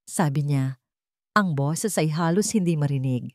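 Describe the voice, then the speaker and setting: A woman's voice: deep voice, measured pace, darkish tone, no room sound, studio quality. A woman with a Filipino accent narrating a scripted romantic drama as a storyteller.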